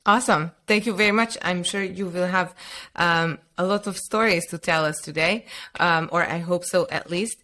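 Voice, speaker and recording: A woman with a slightly low voice hosts a podcast interview. She welcomes her guest and asks questions politely and at a measured pace, with the odd hesitation. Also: bright, forceful tone. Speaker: podcast host. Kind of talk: interview hosting.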